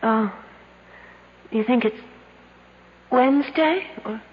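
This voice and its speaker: An actress in a radio drama playing a fretful, desperate young woman trapped in a dark cave. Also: a little high, takes it slowly, breathy edge. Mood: weary. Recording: muffled, noisy old radio recording.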